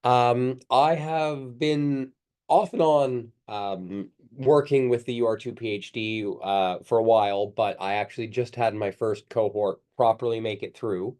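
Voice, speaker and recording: A man who works as a computer science professor gives a workshop talk over a video call. His voice is croaky from a cold, and he hesitates with many ums. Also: medium pitch, steady tone, unhurried, darkish tone.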